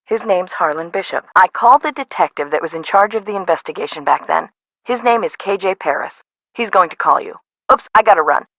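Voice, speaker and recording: Bright, no-nonsense woman park boss. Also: on the low side, animated, a little fast, no echo, poor audio. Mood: brisk, confiding. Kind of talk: scripted video game voice acting.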